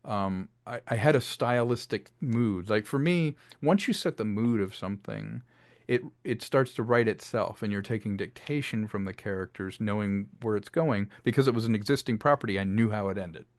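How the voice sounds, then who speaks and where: A man's voice: fairly low pitch, normal speed, no room sound. Expansive man talking through his creative process. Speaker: filmmaker and audio drama writer being interviewed. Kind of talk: conversational interview talk.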